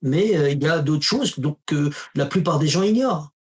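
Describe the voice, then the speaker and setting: A man with a fairly high voice talks casually in an interview. He is a spiritual teacher who tells stories, acting out the dialogue with lively asides. His tone stays informal and direct. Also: normal speed. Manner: storytelling, acts out quoted dialogue with emphatic asides; uses informal tu to the listener.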